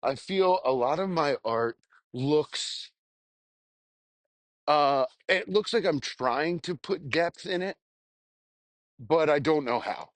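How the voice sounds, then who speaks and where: A man talking casually to his audience in a rambling, self-deprecating spoken journal, halting and crudely sweary. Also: on the high side, expressive, no room sound. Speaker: vlogger.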